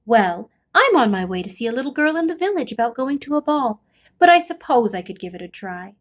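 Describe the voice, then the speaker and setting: A woman voicing a blunt but obliging fairy godmother from a storybook, brisk and offhand. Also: a little high, sing-song. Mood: blunt, breezy.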